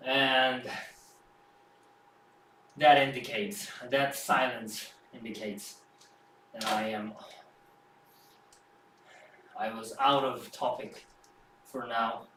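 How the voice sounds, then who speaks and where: A man who is not a native English speaker, rambling and thinking aloud after a home workout, with many halting restarts. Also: medium pitch, fairly even intonation, pushed and bright. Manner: rambling, halting, self-reflective. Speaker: fitness streamer. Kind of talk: casual musing after a workout. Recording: roomy echo, distant mic, faint background hum.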